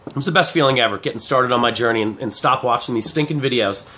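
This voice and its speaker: Bright-voiced man in his forties with a cold. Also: mid-range voice, fast. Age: 40s. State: head cold, clearing throat. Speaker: affiliate marketing reviewer. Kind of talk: casual rambling video pitch.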